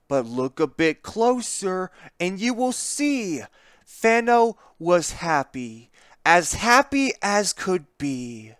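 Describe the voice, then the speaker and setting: Someone reading a kids book out loud, slow and super expressive, theatrical storytime delivery. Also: medium pitch, smooth, no room sound, clean studio sound. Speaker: narrator of a picture book. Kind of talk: expressive children's storybook read-aloud.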